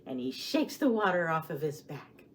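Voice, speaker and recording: Animated woman hosting children's story time. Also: fairly low pitch, normal speed, a little hiss or hum. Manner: addresses young children with questions, gasps and animated emphasis. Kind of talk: children's story time with songs, rhymes and picture book reading.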